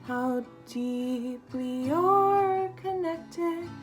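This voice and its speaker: A woman singing a gentle folk song for worship, accompanied by guitar. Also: very high-pitched, drawn-out words. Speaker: worship singer. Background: plucked guitar.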